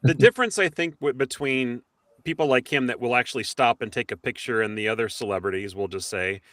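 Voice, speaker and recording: A man hosting a podcast in casual chat, with an enthusiastic, animated way of talking. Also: medium pitch, a little fast, dry recording. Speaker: podcast host.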